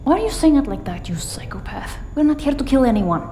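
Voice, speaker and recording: A woman's voice: fairly high pitch, expressive, brisk, dark tone. A woman playing a curt, exasperated operative in a noir audio drama, blunt and impatient in her dialogue. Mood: irritated. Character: curt, exasperated tough female operative, Stranger's partner. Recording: roomy echo, clear background ambience noise.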